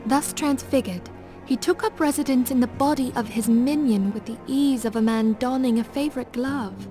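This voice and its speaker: High-pitched, formal noblewoman, played by an actress over music. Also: mellow, natural voice. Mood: grave, reflective. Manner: formal, measured, archaic diction. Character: composed, learned noblewoman leader, earnest and resolute. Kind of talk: video game cutscene acting. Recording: orchestral music behind the voice. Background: background music.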